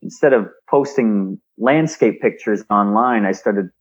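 Man from Canada, reflective guest, slightly low voice, restarts phrases, dull lo-fi call audio. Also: unhurried. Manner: frequent word repeats and restarts. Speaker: guest, speaker and engineer. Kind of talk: remote interview, reflective storytelling.

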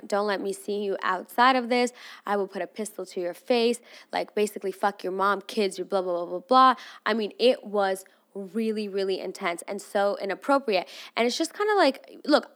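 A young adult woman from Armenia, raised in Russia, hosting a podcast with frank, animated, casually profane commentary. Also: mid-range voice. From Armenian, grew up in Russia. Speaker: podcast host.

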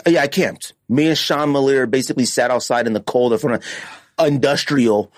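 A man's voice: medium pitch, normal speed, dry recording, studio quality. A man hosting a podcast, chatting casually through a countdown in a blunt, opinionated, slangy and emphatic way. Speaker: podcast host. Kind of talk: casual sneaker countdown chat.

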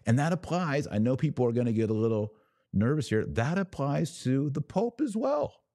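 A man's voice: mid-range voice, big pitch swings, moderate pace, dark tone, dry recording, clean studio sound. A man hosting a religious commentary podcast, speaking to listeners in an emphatic, didactic way. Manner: emphatic, didactic, explaining by analogy.

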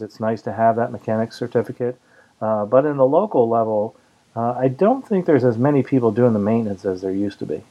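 Male podcast host, slightly muffled audio. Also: mid-range voice. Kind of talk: casual panel discussion, answering listener questions.

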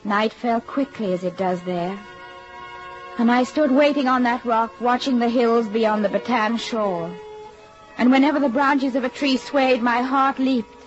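An actress playing a devoted, anxious young army nurse in a vintage radio drama, with earnest emotional delivery. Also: female voice, a little high, unhurried, natural voice. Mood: sorrowful. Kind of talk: vintage wartime radio drama acting and narration. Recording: muffled old radio drama with music behind.

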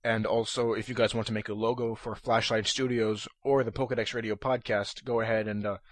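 A young man hosting a casual solo podcast, talking in a monotonous, rambling way full of fillers and restarts, his voice a little hoarse. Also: fairly low pitch, brisk, no room sound. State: slightly hoarse. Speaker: podcast host. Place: room at his computer.

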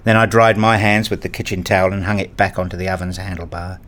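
Man playing a wistful, low-voiced father narrator. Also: little pitch movement, quick pace, a bit bright, slight background noise. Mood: resigned. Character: wistful, fussy married father and toy enthusiast, narrating. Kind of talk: scripted first-person radio play narration.

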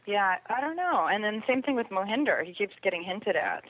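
A woman's voice: mid-range voice, lively intonation, fairly quick, no echo. A woman chatting casually as a podcast panelist over a remote call. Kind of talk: casual chat about TV shows. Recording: dull lo-fi remote call audio.

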